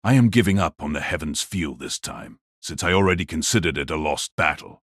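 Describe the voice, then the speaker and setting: A man voicing a wicked, cold old sorcerer patriarch in an audiobook drama, speaking in a slow, mocking, condescending way. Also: rumbling low, expressive, slightly rough, dry recording, studio quality, full character voice. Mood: condescending, calculating.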